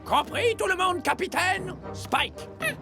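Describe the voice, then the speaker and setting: A woman's voice: very high voice, lively intonation, unhurried. A woman voicing a small, mischievous villain critter who bosses a pirate crew in a cartoon dub. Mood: scheming. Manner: bossy, giving crew orders. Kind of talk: French cartoon dubbing. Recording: music under the voice.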